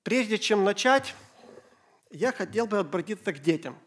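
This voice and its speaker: High-voiced Ukrainian-born man preaching. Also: animated, fairly bright tone. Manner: slow, halting German, pausing phrase by phrase. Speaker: pastor. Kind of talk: sermon in Russian with interpreter.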